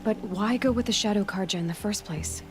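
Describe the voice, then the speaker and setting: A determined young huntress from a video game, played by an actress, with a medium-pitched voice. She is blunt yet compassionate and speaks earnestly over prominent music. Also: a little fast, close to an everyday voice. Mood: earnest, firm. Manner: direct, earnest.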